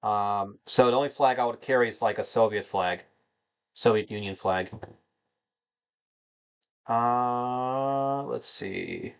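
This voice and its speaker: A man's voice: medium pitch, slow pace, pauses often, clear, resonant tone. A man in his thirties from North Carolina, casually chatting and answering viewer questions on a livestream, rambling with many fillers. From United States (North Carolina). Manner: rambling, filler-heavy, addresses chat as "man". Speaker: livestream host answering chat.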